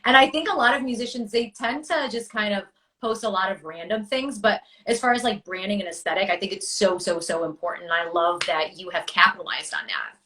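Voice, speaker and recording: A woman's voice: mid-range voice, fairly quick, sharp tone. A woman hosting a casual interview show, affirming her guest and asking open questions. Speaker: show host.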